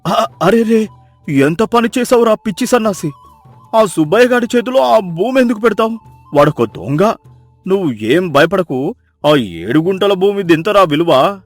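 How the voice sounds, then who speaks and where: Guy doing a greedy bossy city in-law from a storybook, pompous, highish man's voice, very dry sound. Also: dry recording.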